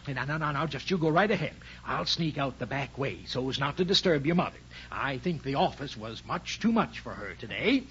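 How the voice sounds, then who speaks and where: Man acting a blustering radio sitcom dad, very lively pitch. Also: brisk, hardly any pauses, close to an everyday voice. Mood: wheedling. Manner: stammering, mock-pompous. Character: hapless, blustering middle-aged suburban father doing housework. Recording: muffled, faintly noisy 1940s radio recording.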